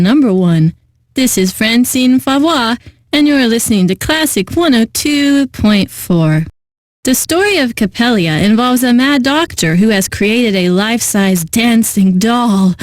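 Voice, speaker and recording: A woman's voice: on the high side, dry recording, clean studio sound. An actress playing an eccentric, lovelorn female classical radio host in a video game, announcing with genteel poise and wistful, theatrical delivery. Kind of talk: scripted radio DJ announcing with comic drama.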